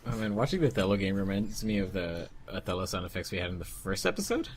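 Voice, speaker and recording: A man's voice: deep voice, sing-song, quick pace, slight background noise. A man chatting casually and asking questions on a group commentary.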